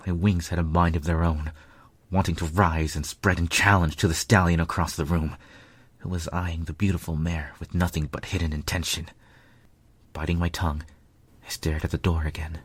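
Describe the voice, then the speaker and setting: A man narrating a story in the first person with a measured, earnest, dramatic delivery. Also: deep voice, flat delivery, breathy edge, no room sound.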